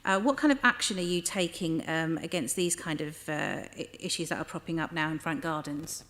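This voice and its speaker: A woman's voice: sits low, normal speed, a bit bright, a little hiss or hum. A woman chairing a committee, asking questions hesitantly with many fillers.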